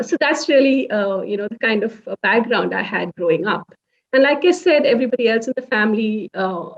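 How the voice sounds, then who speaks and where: A woman in her fifties from India with a South Indian English accent, looking back on her life in a reflective interview, pausing often on "uh". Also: mid-range voice, far from the mic, highs cut off. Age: 50s. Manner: reflective, many "uh" fillers and restarts. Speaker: senior tech executive. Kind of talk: interview recollection.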